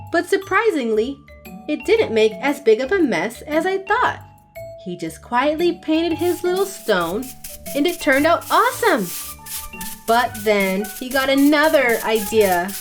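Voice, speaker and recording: Brief: young woman, slow expressive storybook reader, high lively voice, soft music behind. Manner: expressive, sing-song. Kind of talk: read-aloud storytelling for children.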